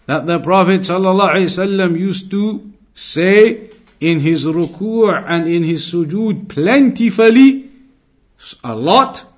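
A man with a slightly high voice delivers an Islamic lecture as a teacher would. He explains deliberately and didactically, circling back to key phrases to stress them. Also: animated. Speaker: Islamic teacher giving a religious lesson. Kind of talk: religious lecture, explaining Arabic texts in English.

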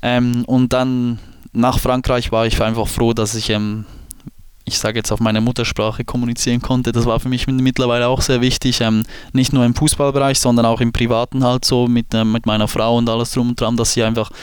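Swiss german guy late 20s, flat even tone, lots of ums, dry studio sound. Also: medium pitch, a little fast, clean tone, no room sound. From Switzerland. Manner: hesitant, many fillers and restarts. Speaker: football goalkeeper guest. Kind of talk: casual podcast interview.

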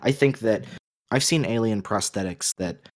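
Low-voiced man discussing opinions on a podcast. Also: moderate pace, no room sound, studio quality. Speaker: podcast co-host.

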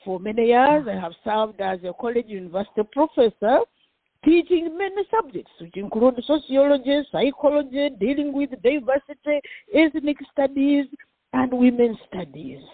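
A woman's voice: sits high, expressive, normal speed, gravelly, husky, no room sound. A Ugandan-accented woman in her sixties, a professor and pastor, introducing herself in a radio interview, speaking expressively with some fillers. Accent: Ugandan, with some American Midwest. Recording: tinny phone line, dry.

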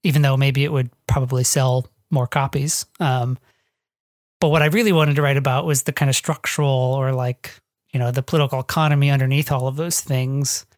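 An American author speaks in a reflective interview style, at a middle pitch. The delivery is halting and discursive, as thoughts get worked out aloud. The sound is dry and close, with very little room in it. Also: fairly even intonation, no room sound. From northwest Ohio, United States. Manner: halting, discursive, thinking aloud with frequent hedging. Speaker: guest author of a memoir. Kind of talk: reflective podcast interview talk.

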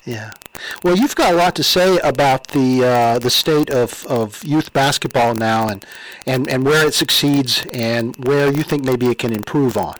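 A man's voice: mid-range voice, unhurried, rough recording. A man conducting a casual interview, attentive and easygoing, with a conversational way of putting questions.

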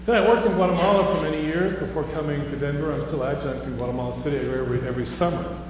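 A man's voice: a little high, rapid-fire. A man from Houston giving a conversational chapel talk to a live audience, telling stories and rising to emphatic questions. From Houston, Texas. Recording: echoey hall from far off, dull with hum.

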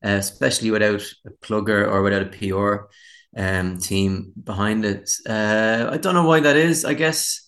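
A man's voice: fairly low pitch, moderate pace, fairly bright tone. An Irish man, a musician being interviewed, chatting casually in a candid, rambling, self-deprecating way. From Dublin, Ireland. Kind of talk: casual chat.

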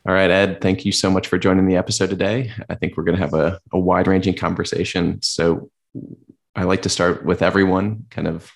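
Gravelly deep-voiced interviewer. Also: male voice. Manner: loose, searching questions with restarts. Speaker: podcast host, interviewer. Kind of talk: casual interview questions.

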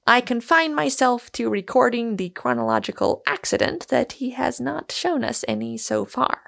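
A woman voicing a witty gentleman in a storybook, cheerful and mock-solemn, who declaims playfully as if making a speech. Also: on the high side, huge pitch swings, moderate pace, gravelly, pitched above the performer's own voice, full character voice. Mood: cheerful, declamatory.

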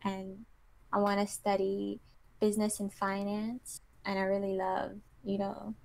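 A woman's voice: mid-range voice, level tone, unhurried, gravelly, darkish tone, no room sound. A woman in her twenties from Kazakhstan, a model answering interview questions in a casual, chatty way with lots of fillers and small laughs. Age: 20s. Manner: chatty, giggly, many fillers like "you know".

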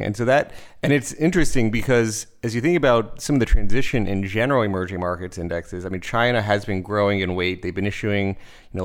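Male host, low voice, loose rambling interview questions. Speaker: show host. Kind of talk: interview hosting.

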